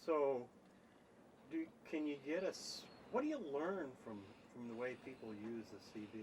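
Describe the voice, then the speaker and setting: A man's voice: mid-range voice, big pitch swings, moderate pace, a little mellow. An American man asking questions in a casual documentary interview, informal and a little hesitant. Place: on location at a desert camp, ambient noise. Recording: noisy 1990 amateur videotape sound.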